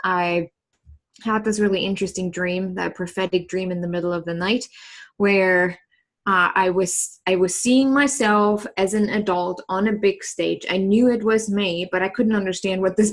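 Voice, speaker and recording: A woman in her thirties from East Germany with a German accent, a podcast guest telling her story in a relaxed, earnest way and laughing easily. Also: medium pitch, moderate pace. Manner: earnest and reflective, with frequent laughs and "like" fillers. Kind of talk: casual conversational storytelling.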